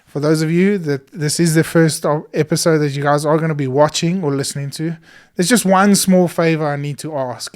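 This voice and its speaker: A hoarse young man from South Africa hosting a solo podcast in a studio, chatty and earnest with plenty of ums. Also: fairly high pitch. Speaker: podcast host. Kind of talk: solo podcast talk to camera.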